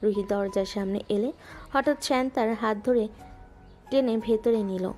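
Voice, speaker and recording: A woman gently narrating a scripted romantic audio story. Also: a little high, measured pace. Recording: music bed underneath.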